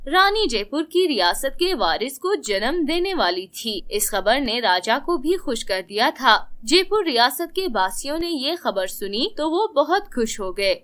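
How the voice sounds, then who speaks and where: A woman's voice: high voice, fairly bright tone. A woman storyteller reading a scripted fairy tale narration.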